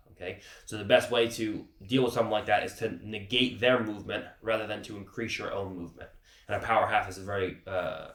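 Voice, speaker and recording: A man's voice: lowish voice, fairly bright tone, roomy sound. A man with a cold teaching grappling techniques in a casual, explanatory way, often checking in with "okay". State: has a cold, sniffling. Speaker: grappling instructor. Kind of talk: instructional teaching.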